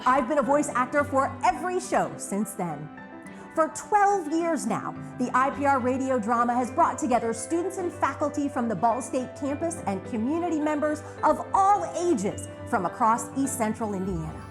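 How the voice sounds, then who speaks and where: An American woman presents a scripted program as host. Her voice sits a little high and moves with lively intonation. Music plays clearly behind her. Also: moderate pace. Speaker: host of a radio drama retrospective, voice actor.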